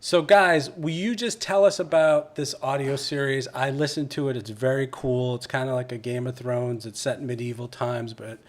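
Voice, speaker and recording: A man's voice: medium pitch, fairly even intonation, moderate pace, few pauses. A man hosting a live podcast, chatting informally, plugging sponsors and interviewing guests in a casual, promotional way.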